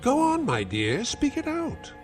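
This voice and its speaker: An actor playing a cruel, sarcastic elderly uncle who is a scientist, taunting with mocking, theatrical insults. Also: male voice, very high voice, wildly swooping pitch, a little slow, faint music behind, heavily acted. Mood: sneering. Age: older. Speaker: domineering uncle. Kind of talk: 1960s TV drama acting.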